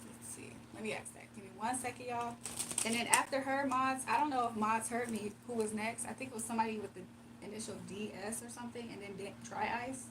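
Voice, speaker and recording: A woman's voice: a little high, steady tone, brisk, background noise. A woman hosting a live stream, chatting casually with viewers and doing card readings, her voice a little strained from nearly losing it. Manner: chatty, reads out viewer comments, laughs often. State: slightly strained, recovering voice. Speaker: livestream card reader.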